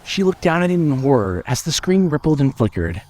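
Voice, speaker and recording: A man narrating a scripted suspense story in a measured, dramatic storytelling manner. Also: on the high side, sing-song, a little mellow.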